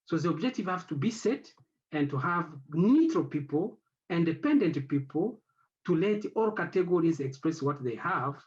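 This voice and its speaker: A man whose first language is French, speaking on an academic panel as the head of an institute. He talks haltingly and searches for words, with many fillers. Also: on the high side, highs cut off.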